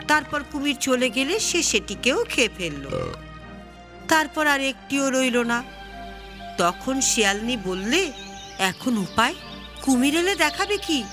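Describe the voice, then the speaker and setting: A woman narrating a children's story expressively, with music behind her. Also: high-pitched. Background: background music.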